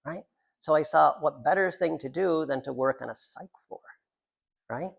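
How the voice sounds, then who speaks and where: A man's voice: a little high, normal speed, creaky, husky. A man, a writer, reading his own work aloud at a live literary reading, with rambling, self-deprecating, jokey asides.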